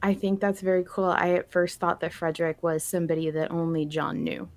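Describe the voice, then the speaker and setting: A woman's voice: on the low side, fairly even intonation, fairly quick. A woman hosting a podcast, chatting casually and enthusiastically as a fan. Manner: enthusiastic, gushing. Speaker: podcast host.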